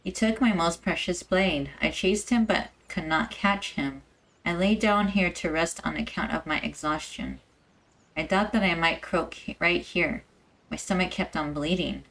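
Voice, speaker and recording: A woman's voice: lowish voice, measured pace, creaky, fairly bright tone, voice pushed down, exaggerated voice, low-quality recording. A woman voicing a wounded, remorseful man reading out his last letter from a video game, subdued and weary. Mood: pained, bitter.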